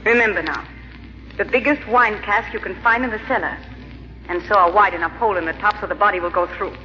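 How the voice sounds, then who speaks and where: An actress playing a cold, ruthless wife plotting murder in an old-time radio horror drama, commanding and deliberate. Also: female voice, mid-range voice, bright, forceful tone, faint music behind, lightly acted. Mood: steely, commanding. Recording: reverberant muffled old radio with hiss.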